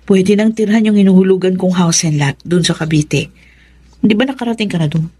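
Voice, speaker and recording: An actress playing a self-righteous, bossy elder sister in a Filipino radio drama, nagging and insistent with drawn-out emphasis. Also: female voice, medium pitch, warm tone, breathy edge, close to an everyday voice.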